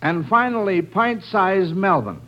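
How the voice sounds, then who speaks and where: A man's voice: high voice, lively intonation, measured pace, slight background noise. An American man hosting a 1940s radio quiz in a folksy, chatty way, addressing children and listeners as friends. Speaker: quiz show host. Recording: muffled vintage radio broadcast.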